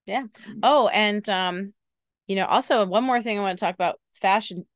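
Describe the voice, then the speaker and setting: A woman's voice: medium pitch, normal speed, no room sound, clean studio sound. A woman co-hosting a soccer podcast, chatty and teasing in casual talk. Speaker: podcast co-host. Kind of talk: casual sports chat.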